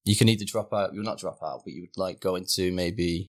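Casting note: young Mancunian guy, very low voice, easygoing guest explaining things. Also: fairly quick. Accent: Northern English, Manchester area. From England. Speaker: podcast guest. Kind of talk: casual conversation.

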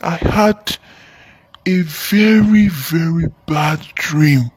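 Man acting as devout young man, audio drama, husky voice, slow delivery, fearful, anguished, repetitive phrasing. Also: fairly high pitch, darkish tone, heavily acted. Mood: troubled.

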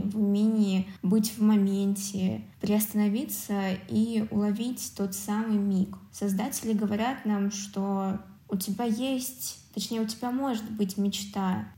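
Female podcast co-host, mellow and mid-pitched, measured and reflective, roomy echoing sound. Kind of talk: film discussion on a podcast.

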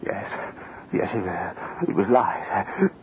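An actor in an old-time radio horror play, playing a panicked military ruler whose mind is slipping toward childhood, with a halting, repetitive stammer. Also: male voice, lowish voice, sing-song. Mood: shaken denial. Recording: muffled, hissy old radio recording.

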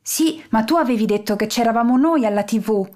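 A woman's voice: on the high side, brisk, darkish tone, natural voice. A woman voicing a doubtful young girl athlete who protests. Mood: protesting.

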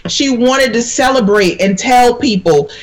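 A woman minister, born in Jamaica, speaks on a panel in an earnest, sermon-like way. She leans on scripture as she talks. Her voice sits high for a speaker. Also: unhurried. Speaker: minister, guest. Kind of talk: conversational panel talk with preaching.

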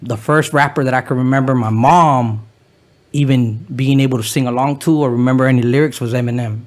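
Fast-talking young man, loose and casual. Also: medium pitch, steady tone. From Southern California. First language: Spanish. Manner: loose street slang, many fillers. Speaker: guest, musician. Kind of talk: casual podcast interview.

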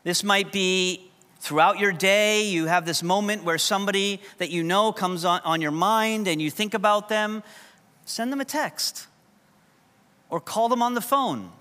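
High bright-voiced New preacher. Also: male voice, measured pace. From New York. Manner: conversational, humorous storytelling. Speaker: pastor. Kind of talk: sermon. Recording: long hall reverb.

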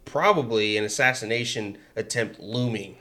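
Energetic man hosting a podcast. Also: normal speed. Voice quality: higher voice than his co-host. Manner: energetic, talks in bursts with frequent restarts. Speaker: podcast co-host. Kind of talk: casual political podcast chat. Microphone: headset mic with headphones.

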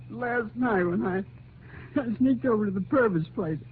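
A man's voice: very high voice, exaggerated voice. An American man playing a bitter, defeated young killer confessing in a 1950s radio drama. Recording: muffled, noisy 1950s radio recording.

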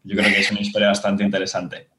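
A man from Spain with a Spanish accent, co-hosting a podcast and asking questions in a measured, polite way. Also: on the low side, completely flat delivery, bright, forceful tone, slight background noise. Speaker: podcast co-host.

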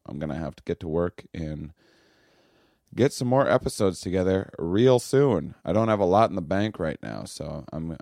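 A podcast host, a man with a deep, low voice that carries well. He talks loosely and casually, full of "um"s and restarts. The sound is dry and close to the mic. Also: vocal fry, dry recording. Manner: relaxed, rambling, many fillers and restarts. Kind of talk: casual conversational interview.

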